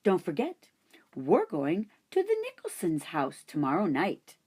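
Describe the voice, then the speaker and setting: A woman voicing a calm mother who speaks low and quietly reassuring in a storybook reading. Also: unhurried, breathy edge, exaggerated voice. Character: calm, firm mother of a girl.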